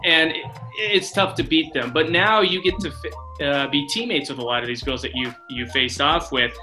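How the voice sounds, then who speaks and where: Bright-voiced American man interviewing. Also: a little high, normal speed. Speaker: sports radio announcer interviewing a player. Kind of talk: casual sports interview. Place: small office, indoors, on video call. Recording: video call with music underneath. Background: music.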